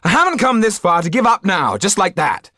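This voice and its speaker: Man as a headstrong young audiobook hero, pitch lifted, intense, defiant, dry close sound. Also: very animated, brisk, sharp tone, no echo, full character voice. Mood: angry, desperate. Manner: intense, impassioned.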